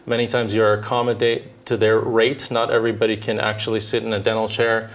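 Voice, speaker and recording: Measured American man with many pauses. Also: lowish voice, little pitch movement. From Southern California, United States. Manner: measured, thoughtful, with frequent filler pauses. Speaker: dentist and orthodontist guest. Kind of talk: interview answers.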